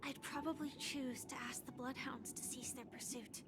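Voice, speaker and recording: Woman as a high-voiced earnest girl. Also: fairly even intonation, moderate pace, music in the background, lightly acted. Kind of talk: scripted video game dialogue.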